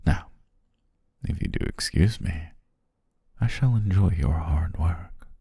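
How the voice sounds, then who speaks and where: Male actor as demon king, dark gravelly rumble, courtly and flattering, playful, intimate ASMR roleplay, close dry sound. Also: slow pace, no room sound, full character voice. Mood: delighted, savoring. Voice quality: very deep, gravelly, husky, breathy. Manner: formal, old-fashioned courtly phrasing, savoring. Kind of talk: ASMR roleplay, intimate second-person acting.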